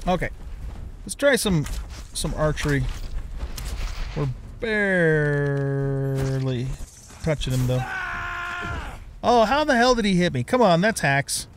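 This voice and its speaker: Older guy doing a let's play, kinda higher voice, talks it through casually, bit of noise behind him. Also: expressive, slow, pauses often. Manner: informal, mild swearing, thinking aloud. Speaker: gaming streamer, let's player. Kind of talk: casual video game commentary.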